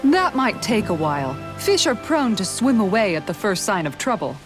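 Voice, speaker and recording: Game role: actress playing a principled young female guard turned freedom fighter, mid-pitched, measured and sincere, music underneath. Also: big pitch swings, close to an everyday voice. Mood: relaxed, reminiscing. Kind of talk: dramatic video game cutscene acting.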